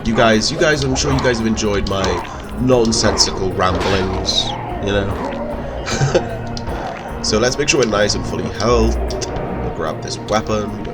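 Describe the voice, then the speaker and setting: A man's voice: fairly low pitch, unhurried. A man with a cold, giving rambling, chatty gameplay commentary in a stuffy voice. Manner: rambling, chatty, self-correcting. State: stuffy with a cold and sore throat. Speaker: let's play host. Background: game music.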